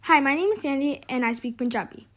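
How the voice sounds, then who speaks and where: A woman's voice: high-pitched, animated, fast talker, fairly bright tone. A woman gives her opinion in a casual, conversational way. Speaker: interviewee.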